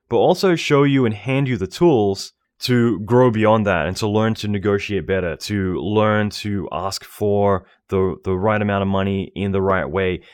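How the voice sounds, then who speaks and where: Chatty lower-voiced male host. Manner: chatty, jokey, talks fast in bursts. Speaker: podcast host. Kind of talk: casual interview and promo read. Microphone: call microphone.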